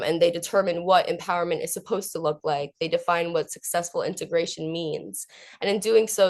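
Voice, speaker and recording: A young woman student giving an academic conference presentation of research in an earnest, informal way. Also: on the low side. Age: young adult.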